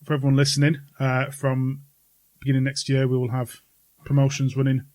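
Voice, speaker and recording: A man with a middle-pitched voice hosts a casual podcast. He talks in a chummy, enthusiastic way, as if among friends. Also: monotone, a little fast, darkish tone. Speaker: podcast host. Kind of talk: casual chat.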